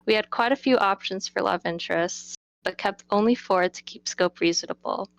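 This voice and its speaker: A woman's voice: medium pitch, normal speed, creaky, no room sound. A woman game developer and designer answering Q&A questions, partly reading from prepared notes. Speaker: game developer and character artist in a Q&A.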